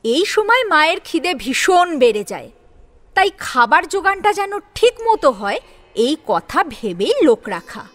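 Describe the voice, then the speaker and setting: A woman's voice: sits high, very animated, measured pace, fairly bright tone. A woman narrating a scripted dramatic story as co-presenter.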